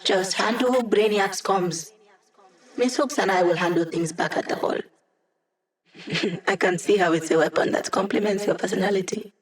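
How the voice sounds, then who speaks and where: A woman's voice: medium pitch, normal speed, close to an everyday voice, rough recording. An actress voicing a cocky, playful young female hacker who guides a squad in a video game, with a teasing, breezy manner. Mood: brisk, urgent, then sly, teasing. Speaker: hacker and mission support. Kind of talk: video game character voice lines.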